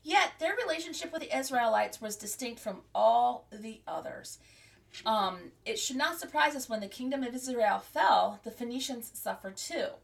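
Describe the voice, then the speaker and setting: A woman hosting a podcast, reading an article aloud with careful, deliberate pacing and frequent restarts, then signing off casually. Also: a little high, expressive, creaky, distant mic. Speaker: podcast host.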